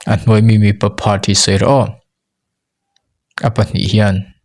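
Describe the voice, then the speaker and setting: A native Mizo-speaking man with a Mizo accent runs an English lesson at an unhurried pace. His voice sits fairly low. He says each practice phrase twice, so learners can follow and repeat. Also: little pitch movement, a little mellow. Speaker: English teacher.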